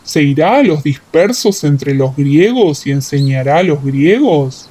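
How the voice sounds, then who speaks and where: A woman's voice: low-pitched, animated, unhurried, warm tone, husky, pitched below the performer's own voice, heavily acted. A woman voicing a skeptical, accusing man of an ancient crowd in a Bible radio play, with questioning, theatrical delivery. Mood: puzzled, doubting.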